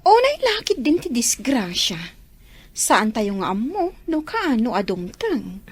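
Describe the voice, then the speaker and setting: An actress playing a sociable, sympathetic neighbour in an Ilocano radio drama, chatty and lilting. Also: female voice, fairly high pitch, sing-song, measured pace, husky, full character voice.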